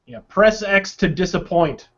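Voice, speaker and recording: High-pitched man, emphatic profane ranter. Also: expressive, measured pace. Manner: sarcastic, exaggerating. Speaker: podcast panelist. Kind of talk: opinionated video game podcast talk. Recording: dull, lo-fi online audio.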